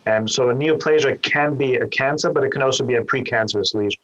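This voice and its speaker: A man's voice: medium pitch, fast, fairly bright tone, lo-fi sound. A man from Austria, a guest surgeon, who explains things carefully and often repeats a small word as he starts a point. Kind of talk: explanatory interview answers.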